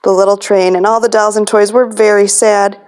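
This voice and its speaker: A woman's voice: mid-range voice, flat delivery, a little fast, a little mellow, clear, resonant tone. A woman hosting a children's storytime as a librarian, reading a picture book aloud.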